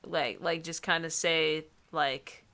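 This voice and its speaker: A woman's voice: low-pitched, steady tone, takes it slowly, sharp tone. A woman co-hosting a comedic book show with casual, blunt and sardonic commentary.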